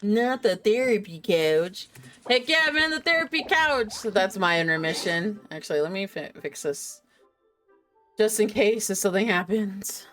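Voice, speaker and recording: A woman's voice: medium pitch, animated, unhurried, a bit bright, faint music behind. A woman hosting a game livestream, chatting casually and playfully to herself and her viewers in a giggly manner. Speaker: game streamer, host.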